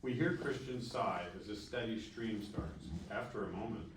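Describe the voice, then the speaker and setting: A man's voice: fairly low pitch, normal speed. A man narrating a screenplay at a table read, reading stage directions aloud. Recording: very echoey room, distant mic, faint noise.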